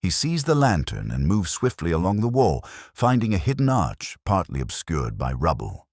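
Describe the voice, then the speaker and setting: A man narrating a detective story in a measured, solemn, suspenseful way. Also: sits low, wildly swooping pitch, a little mellow, no echo, studio quality. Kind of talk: scripted dramatic story narration.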